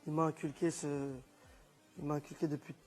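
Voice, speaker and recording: A man's voice: on the high side, flat delivery, brisk, darkish tone, faint music behind. A footballer from France answering interview questions earnestly, repeating words as he searches.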